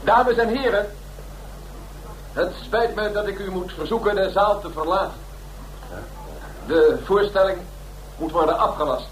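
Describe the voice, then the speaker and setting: A high-voiced, formal male theatre announcer in a radio drama. He speaks with measured, polite regret. The voice echoes through a hall with faint noise behind it.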